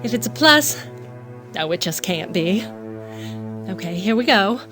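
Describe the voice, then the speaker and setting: A proud, wounded rich man's daughter performed by a woman in an audio drama. She speaks at medium pitch, curt and tense. Faint music plays behind her. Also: expressive, measured pace, lightly acted. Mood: anxious.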